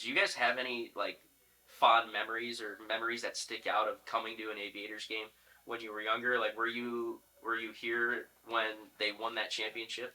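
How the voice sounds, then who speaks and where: A man's voice: mid-range voice, pushed and bright, rough recording. A man hosting a casual sports podcast, asking chatty, rambling questions of his guests. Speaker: podcast host interviewing players. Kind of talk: casual sports interview chat.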